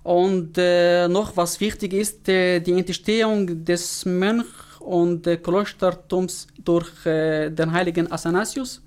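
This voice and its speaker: A man whose first language is Arabic, answering interview questions in a halting way with frequent pauses and restarts. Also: high-pitched, fairly even intonation, a little mellow, smooth. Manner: halting, many fillers and restarts. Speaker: interview guest.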